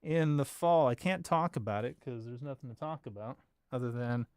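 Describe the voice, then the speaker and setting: A man's voice: mid-range voice, normal speed, darkish tone, clean tone. An American man from Los Angeles hosting a casual livestream, chatting informally with viewers in a rambling, joking way. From Los Angeles, United States. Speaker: bass player and livestream host.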